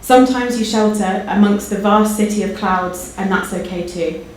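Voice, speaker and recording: A woman's voice: mid-range voice, moderate pace, darkish tone. A woman speaking at a live event, giving a personal tribute and reading a poem to an audience. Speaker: guest poetry reader and friend. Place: indoor live launch event. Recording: very echoey hall, faint background noise.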